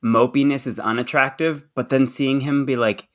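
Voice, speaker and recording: A man's voice: medium pitch, steady tone, moderate pace. A man co-hosting a casual podcast chat, chatty and laughing mid-sentence. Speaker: podcast co-host.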